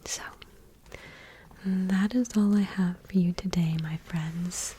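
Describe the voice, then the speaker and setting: A woman ASMR host softly reading in a hushed, breathy whisper, gentle and unhurried, item by item. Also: fairly low pitch, fairly even intonation, a little hiss or hum. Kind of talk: soft-spoken ASMR, whispered card reading.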